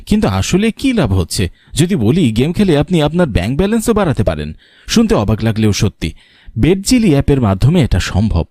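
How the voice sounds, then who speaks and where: A man's voice: fairly high pitch, a little mellow, no echo, studio quality. A man reading a scripted promotional advertisement as an announcer. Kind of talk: promotional ad read.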